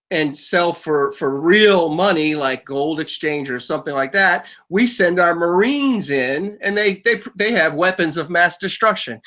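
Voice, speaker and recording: A man's voice: sits high, bright, forceful tone. A man hosting a talk show, explaining at length with frequent stammered restarts. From United States. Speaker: podcast host.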